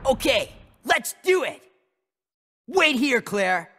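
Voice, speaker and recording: A man playing a cocky, excitable and defensive teenage boy in a video game cutscene, boastful and boyish. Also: very high voice, takes it slowly, long reverb tail, background noise, full character voice. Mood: excited, cocky.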